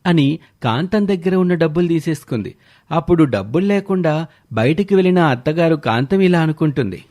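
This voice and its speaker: A man's voice: a little high, expressive, brisk, darkish tone. A man narrating a scripted moral story as its storyteller.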